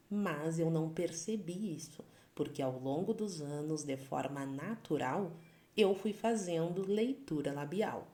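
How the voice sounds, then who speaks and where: A Brazilian woman in her thirties, a sign-language educator, calmly sharing a personal testimony in a measured way. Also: low-pitched, roomy sound. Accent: Brazilian Portuguese. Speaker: Libras educator.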